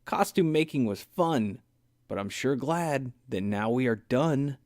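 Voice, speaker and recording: An eager little boy bear from a children's storybook, played by a man. He is earnest and exclamatory, with very lively intonation. The delivery is slow and closely recorded, with a dry sound. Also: mid-range voice, no echo, pitched above the performer's own voice, natural voice. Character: eager young boy bear cub, changeable, imaginative.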